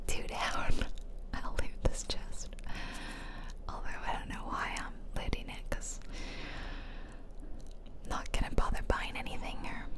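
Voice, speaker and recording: A woman whispering casual, playful game commentary in a breathy ASMR style, close to the microphone. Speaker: gaming video host. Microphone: close ear-to-ear ASMR microphone.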